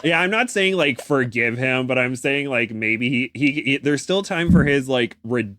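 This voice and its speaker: A man co-hosting a casual podcast, jokey and rambling, often halting and correcting himself mid-sentence. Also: mid-range voice, lively intonation, bright, forceful tone, no echo, clean studio sound. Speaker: podcast co-host.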